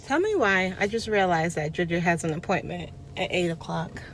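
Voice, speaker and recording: Sassy Jamaican mom vlogger, noisy recording. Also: female voice, fairly low pitch, moderate pace. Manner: sassy, teasing, animated storyteller. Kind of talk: casual chatty vlog.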